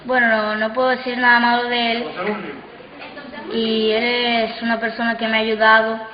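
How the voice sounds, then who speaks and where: Even-toned female performer, echoey room. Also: a little high, moderate pace, a crowd in the background. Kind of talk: interview talk. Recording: echoey hall, muffled, faint noise.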